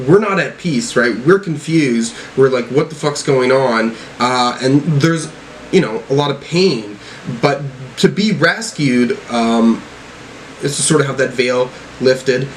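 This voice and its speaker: A man's voice: mid-range voice, unhurried, slight background noise, rough recording. A man giving casual album reaction commentary, rambling and swearing freely, often tagging on "right?" and "you know". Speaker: music reaction reviewer.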